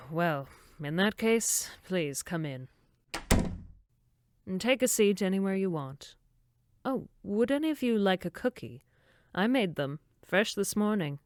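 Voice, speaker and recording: Woman playing a prim, smooth-voiced camp director. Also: mid-range voice, lively intonation, a little slow, lightly acted. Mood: chirpy, hospitable. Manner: prim, wordy, formal phrasing. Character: dismissive, fussy, chirpy female summer camp director. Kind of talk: horror audio drama acting.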